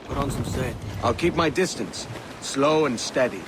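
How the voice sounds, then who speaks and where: An actor playing a roguish, sceptical pirate captain with a Welsh accent and a dry, blunt, casual way of talking. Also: male voice, medium pitch, lively intonation, moderate pace, background noise, natural voice. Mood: businesslike.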